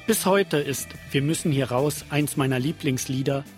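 A man's voice: medium pitch, moderate pace. A man hosting a podcast, reading scripted first-person documentary narration. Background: guitar music.

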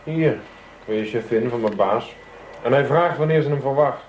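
A man plays a practical right-hand man in a radio play. His mid-pitched voice is informal and wry, with a matter-of-fact manner. There is clear noise on the recording. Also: normal speed, darkish tone, lightly acted. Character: easygoing, practical male aide to his boss. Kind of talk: radio drama acting. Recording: noisy old radio drama recording.

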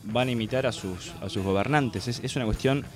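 Male radio film columnist, Buenos Aires accent, mid-pitched, colloquial and digressive, music underneath. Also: fairly quick. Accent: Argentine, Buenos Aires. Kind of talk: casual opinion commentary. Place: radio studio.

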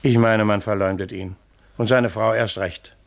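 An actor playing an older, protective village pastor in a radio play, measured and avuncular. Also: male voice, lowish voice, fairly even intonation, creaky. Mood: defensive. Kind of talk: German radio play acting.

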